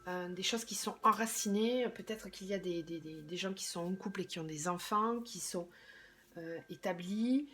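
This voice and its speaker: Woman, slightly hoarse, tarot reading, hesitant, trails off quietly. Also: fairly low pitch, normal speed, slight background noise. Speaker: tarot card reader. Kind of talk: monthly tarot reading, thinking aloud.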